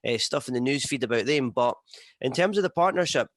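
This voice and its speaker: A man's voice: mid-range voice, lively intonation, a little fast, fairly bright tone, dry recording, clean studio sound. A man with a Scottish accent hosting a podcast, asking questions in a casual interview style. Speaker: podcast host.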